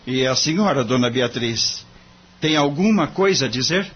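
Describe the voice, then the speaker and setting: Man playing an earnest young Brazilian lawyer, animated. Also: mid-range voice, normal speed, breathy edge. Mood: formal, probing. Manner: formal, polite, earnest. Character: courteous, idealistic young male lawyer. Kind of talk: audio drama acting. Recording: muffled lo-fi audio, background noise.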